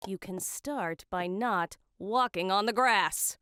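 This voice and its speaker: A woman's voice: medium pitch, slow pace, pushed and bright, slight background noise. A woman voicing a strict, rule-obsessed studio manager in a cartoon, speaking in stern, clipped, bossy lines. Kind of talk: cartoon voice acting.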